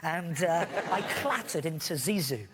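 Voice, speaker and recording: A man's voice: a little high, expressive, measured pace. A man, a guest on a chat show, telling chatty self-deprecating anecdotes. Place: TV studio. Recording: long studio reverb, faint noise.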